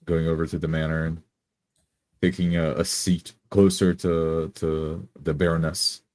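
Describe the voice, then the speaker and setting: A man with a deep voice and little rise or fall plays in a tabletop roleplay. He narrates what his character does, and the sound is close and dry. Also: level tone, measured pace, a little mellow, no room sound.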